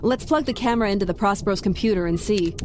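An actress playing a brisk, commanding submarine captain in an audio drama. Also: female voice, mid-range voice, noisy background. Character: brisk young female sub captain. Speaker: lead character, submarine captain.

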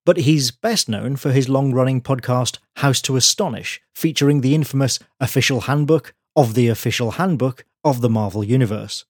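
A man hosting a podcast, reading a brisk scripted intro and then interviewing his guest. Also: mid-range voice, dry recording, studio quality. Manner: brisk, articulate presenter. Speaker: podcast host. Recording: breathy voice over faint guitar music.

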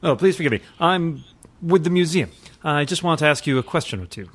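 A man's voice: a little high, expressive, a little fast, a bit bright, husky. A man playing a suave, pompous playboy who is secretly a mysterious crimefighter, in theatrical old-time radio parody style. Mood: polite, insistent. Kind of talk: parody of 1940s radio drama.

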